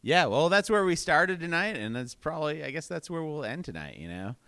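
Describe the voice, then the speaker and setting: A man hosting a podcast in casual chat, relaxed and rambling with fillers. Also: on the high side, brisk, creaky, no room sound. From Boston area. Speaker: podcast host and comedy club owner.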